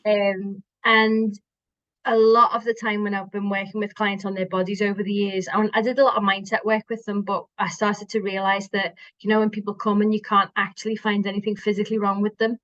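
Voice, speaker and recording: Liverpool-accented woman, middle-aged body worker, chatty and mid-pitched, lo-fi dry recording. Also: little pitch movement, a little fast, no echo. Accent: Liverpool, softened by years in Scotland. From Liverpool, England. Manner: chatty, warm, restarts sentences often. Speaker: guest, somatic body worker. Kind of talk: casual podcast chat. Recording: dry, dull lo-fi sound.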